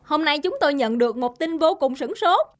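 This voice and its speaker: Cartoon TV newsreader: very high, animated, dramatic bulletin delivery. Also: female voice, brisk. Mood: alarmed, urgent. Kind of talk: mock news bulletin.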